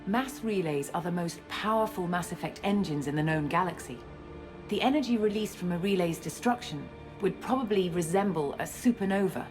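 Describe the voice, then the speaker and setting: A woman playing a guarded, self-assured scientist and space operative in a video game, explaining things in a measured way. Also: lowish voice, lively intonation, lightly acted. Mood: composed, explaining. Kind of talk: video game cutscene acting. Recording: music under the dialogue.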